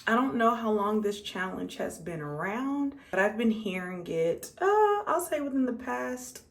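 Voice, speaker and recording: A woman's voice: mid-range voice, animated, moderate pace, vocal fry. A 35-year-old American woman chatting casually and conversationally to her viewers as a makeup vlogger, repeating words for emphasis. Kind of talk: casual chat to viewers while doing makeup.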